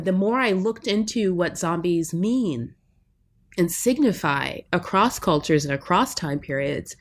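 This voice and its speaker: Lower-pitched woman, thoughtful linguist guest. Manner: reflective, often checks in with "right?". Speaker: linguistics professor, podcast guest. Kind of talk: academic interview talk.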